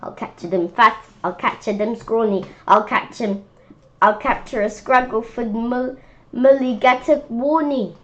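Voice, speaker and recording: A woman's voice: a little high, measured pace, muffled recording. A woman reading a rhyming picture book aloud informally, often stumbling over words and repeating phrases. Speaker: children's book read-aloud reader.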